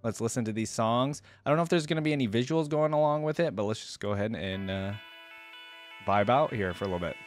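A man in his twenties talks as a casual reaction host. His voice sits at an average male pitch, and his phrasing is loose, slangy and full of hedging. He is close on a desk microphone, with music playing prominently behind him. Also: fast talker. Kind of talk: casual live reaction chat to viewers. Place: small indoor home streaming setup. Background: electronic music.

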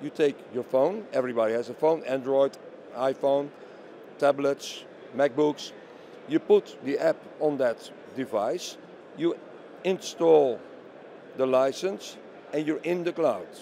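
A man's voice: medium pitch, a little slow, gravelly, warm tone. A middle-aged man being interviewed at a trade show, explaining products and often answering his own questions. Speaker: company representative being interviewed. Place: busy trade show hall booth. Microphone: handheld interview microphone held by interviewer. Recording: faint bustling exhibition hall noise.

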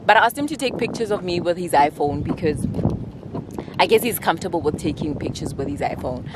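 A woman's voice: deep voice, fairly quick, noisy background. A young woman in her twenties chatting to viewers on a travel vlog, filmed outdoors on a lake boat with a handheld camera. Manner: chatty, animated, exclaiming to viewers. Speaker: travel vlogger. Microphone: handheld camera at arm's length.

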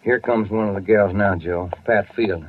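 A folksy male police detective acted by a man speaks in a low, casual voice, plain and homely. The sound is a dull 1940s radio recording with some noise. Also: steady tone, moderate pace, close to an everyday voice. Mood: watchful. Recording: muffled, noisy old radio recording.

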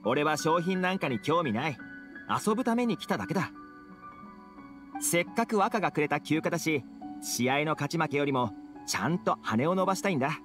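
A man's voice: on the high side, expressive, fairly quick, close to an everyday voice. An actor playing a friendly, easygoing young man in a Japanese fantasy anime game. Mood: relaxed, playful. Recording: background music behind dialogue.

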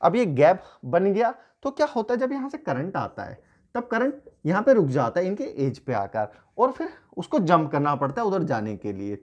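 A man in his thirties teaches an engineering topic, talking through each step as he sketches on a whiteboard. His voice swings widely up and down in pitch. He is picked up by a camera a few feet away in a small room. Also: big pitch swings, normal speed, a little mellow. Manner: explains step by step, pointing and drawing while talking. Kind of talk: whiteboard lecture.

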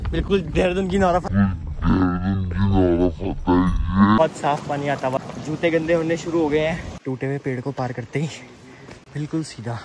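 A man's voice: fairly high pitch, noisy background. A young man in his twenties vlogging outdoors on a hillside trail, joking and teasing his friends into a phone held at arm's length. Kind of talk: casual travel vlog.

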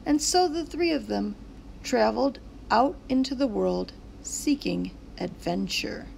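A woman reads a children's picture book in a high, very lively voice. She takes her time over each phrase, with some gravelly creak at the edges. There is clear noise behind her. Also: unhurried, low-quality recording. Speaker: teacher reading a picture book aloud. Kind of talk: animated children's read-aloud narration.

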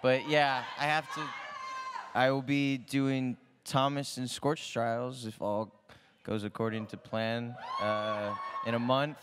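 A man's voice: medium pitch, level tone, slow pace, a bit bright. A man actor answering on a panel, casual and joking. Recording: crowd in the background.

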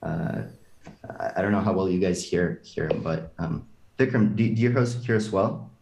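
Male tech CEO panelist, lowish voice, hesitant delivery, roomy call sound. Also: normal speed, creaky, darkish tone. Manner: hesitant, lots of um and restarts. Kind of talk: panel discussion on a video call.

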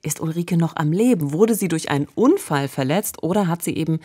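A woman's voice: deep voice, lively intonation, fairly quick, dark tone, breathy edge. A woman in her thirties or forties co-hosting a true-crime podcast, narrating conversationally in a studio. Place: small indoor podcast studio. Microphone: close studio condenser microphone with pop filter.

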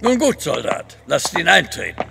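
An actor playing a formal, authoritative Russian grand duke in a German audio drama. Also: male voice, on the high side, huge pitch swings, normal speed, gravelly, over loud music, full character voice. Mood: resolute, formal. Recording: long reverb of a large hall.

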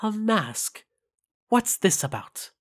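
A performer playing a regal, grave demon prince in an animated fan audio drama, formal, haughty and measured. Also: mid-range voice, noisy background. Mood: composed.

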